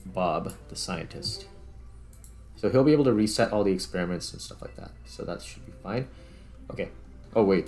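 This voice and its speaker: Low-pitched man casually narrating his gameplay. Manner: thinking aloud, informal. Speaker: gaming video host. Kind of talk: casual let's-play commentary.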